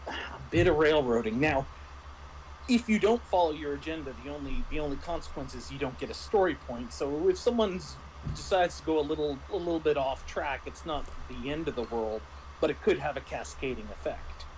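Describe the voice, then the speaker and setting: A man with a fairly high voice talks through a game in a casual, explanatory way. He often restarts and rephrases his thoughts, with faint noise behind him. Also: animated, brisk, a little mellow, poor audio. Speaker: game master. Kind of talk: casual review discussion.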